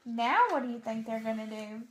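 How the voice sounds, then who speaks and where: A woman's voice: fairly high pitch, fairly quick. A woman reading a children's storybook aloud and putting questions to young listeners.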